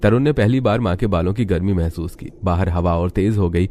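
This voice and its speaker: A man's voice: low voice, steady tone, brisk, mellow, a little hiss or hum. A man reading scripted story narration as the narrator.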